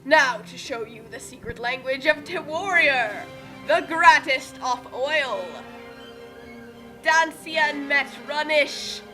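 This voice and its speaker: A woman's voice: sits high, poor audio. A woman on an online group call, hosting a dramatic fanfic reading that mixes theatrical delivery with casual chat.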